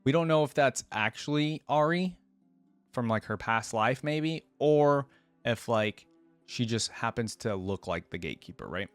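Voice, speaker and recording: A man's voice: medium pitch, lively intonation, measured pace, smooth, no echo, soft background music. A man doing casual reaction commentary, reading aloud and adding informal, conversational asides as a video creator.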